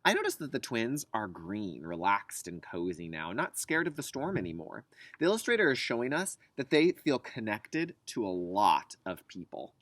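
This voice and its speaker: A man's voice: fairly low pitch, big pitch swings, moderate pace, fairly bright tone, dry recording. A man teaching young children through a read-aloud lesson, explaining in simple, instructive phrases and posing questions to them. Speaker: kindergarten teacher.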